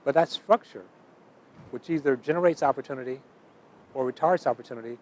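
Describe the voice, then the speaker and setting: A man's voice: mid-range voice, brisk, darkish tone, low-quality recording. A man giving an explanatory documentary interview, speaking emphatically and building points through repetition. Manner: emphatic, uses repetition in lists.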